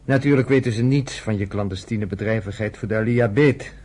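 An actor in a Dutch radio play voicing a wry, fatherly, teasing man with a husky voice. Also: lowish voice, brisk, a little mellow. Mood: teasing, sardonic. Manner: sardonic, fatherly. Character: wry, teasing American man, friend of a nurse.

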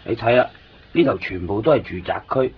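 A man's voice: fairly low pitch, fairly quick, slight background noise, natural voice. An actor with a Hong Kong Cantonese accent playing a talkative man who lives in Japan and fusses over a friend, in a 1990s radio drama. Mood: chatty. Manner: talkative, fussing and coaxing. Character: chatty Hong Kong man living in Japan, fussy guide. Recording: dull lo-fi radio broadcast with music.

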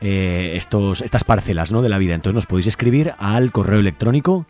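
A man's voice: lowish voice, a little fast, darkish tone. A man presenting a live community radio show in a conversational way. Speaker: radio host. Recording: music playing behind the voice. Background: music.

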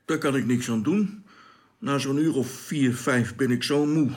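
An actor playing a weary, sarcastic sewage worker who grumbles and mutters to himself in a radio drama. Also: male voice, medium pitch, unhurried, close to an everyday voice. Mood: weary, grumbling. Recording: long cavernous reverb, clear background noise.

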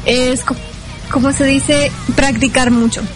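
Mexican girl guest chatting, really high voice, kinda unsure answers, music in the background. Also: steady tone, unhurried. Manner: tentative, unsure. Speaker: interview guest, amateur fandub singer. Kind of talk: casual interview chat.

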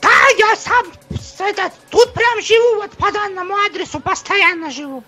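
A woman doing a very high, bright-toned caller with a strange mock accent. She sounds touchy and indignant, cuts in and repeats herself. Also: animated, moderate pace, faint music behind. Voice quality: put-on odd voice with a mock accent. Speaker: prank caller. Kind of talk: prank phone call.

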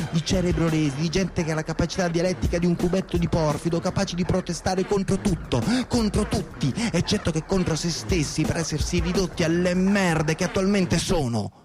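A man's voice: on the high side, natural voice. An actor playing a cynical, bigoted provincial man in a radio theatre monologue, with a sarcastic, scornful delivery. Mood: scornful, ranting. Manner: sarcastic, scornful, foul-mouthed. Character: cynical, bigoted, sneering provincial Italian man narrating his night. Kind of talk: dramatic first-person monologue.

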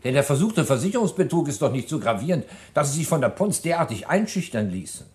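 An actor playing a sceptical public prosecutor in a German radio crime play, questioning in a pointed, incredulous way. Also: male voice, on the high side, big pitch swings, fast talker, darkish tone.

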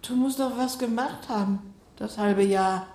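A woman's voice: a little high, measured pace, a little mellow, roomy sound. An actress playing a mother who keeps pressing her daughter with questions. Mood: probing. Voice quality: questioning, insistent. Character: persistent, probing mother. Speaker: mother recovering from heart surgery. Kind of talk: radio play acting.

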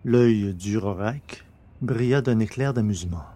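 A man narrating a science fiction story from a script in a measured, literary manner. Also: lowish voice, warm tone.

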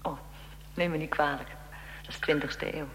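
A woman's voice: deep voice, normal speed. A woman acting in a radio drama as a curious, easily startled young interviewer who questions a figure from history. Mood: uneasy, naive. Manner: inquisitive, expressive, reacts with alarm and wonder. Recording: long echoing reverb, faint background noise.

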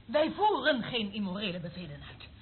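Actress as a haughty woman, animated, tinny. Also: medium pitch, a little fast, lightly acted. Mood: reproachful. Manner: haughty, reproachful. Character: haughty, indignant general's daughter, prim and morally outraged. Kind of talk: Dutch radio drama acting. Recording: tinny, narrow-band old radio recording, faint noise.

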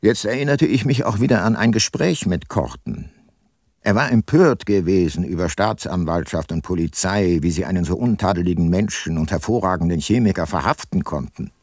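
An actor playing a weary, guilt-laden ageing private detective and former prosecutor in a radio play, sober and reflective. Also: male voice, low voice, expressive, normal speed, a little mellow, no echo, clean studio sound. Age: older. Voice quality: husky. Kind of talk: first-person radio play narration and dialogue.

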